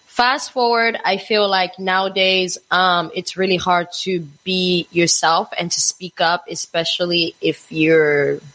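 A woman's voice: lowish voice, fairly even intonation, a little slow, hardly any pauses, fairly bright tone, rough recording. A woman from Africa, a chef and influencer, talking frankly as a guest in a casual chat and laughing between points.

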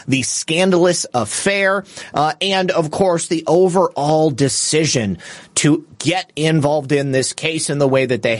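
A man's voice: on the high side, no room sound. A man hosting a political livestream, giving opinionated news commentary with casual asides in a scornful, indignant, crudely humorous manner.

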